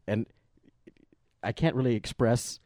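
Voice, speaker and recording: Animated guy telling a work story, acts out voices, dry close sound. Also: mid-range voice, unhurried, no room sound. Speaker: guest recounting work as a puppeteer. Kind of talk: casual anecdote.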